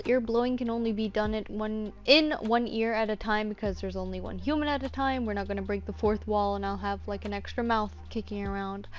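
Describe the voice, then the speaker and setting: Woman, slightly high voice, informal how-to talk, lo-fi muffled sound. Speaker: voice actor giving a tutorial. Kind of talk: casual unscripted tutorial talk.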